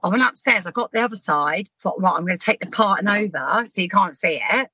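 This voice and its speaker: Brief: woman, 50s, podcast guest, laughs through her stories, bright tone. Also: medium pitch, lively intonation, fairly quick, husky, no echo. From Southampton, England. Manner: chatty, frank, laughs while telling stories. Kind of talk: casual storytelling chat.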